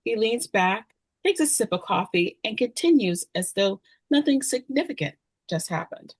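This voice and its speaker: A woman narrates the action of a screenplay at a table read. Her voice is mid-pitched for a woman. The sound is very dry, with little room. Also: expressive, moderate pace, husky, no echo. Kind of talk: scripted narration of screenplay directions.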